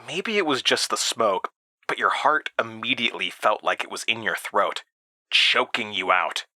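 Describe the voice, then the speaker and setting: A man narrating a first-person horror story in a deliberate, halting manner with dramatic pauses. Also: lowish voice, lively intonation, bright, forceful tone, dry recording, studio quality.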